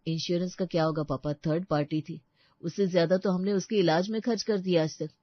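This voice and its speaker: A woman's voice: fairly low pitch, steady tone, brisk, no room sound, muffled recording, natural voice. A woman voicing a blunt, emotional, indignant male relative in a Hindi cartoon family story. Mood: dismissive.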